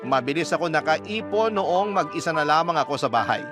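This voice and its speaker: A man plays an aggrieved fisherman father telling his own story in a radio drama. His voice is slightly high, earnest and confiding. Music runs clearly behind him. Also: lively intonation, a little fast, natural voice. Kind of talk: first-person radio drama letter narration.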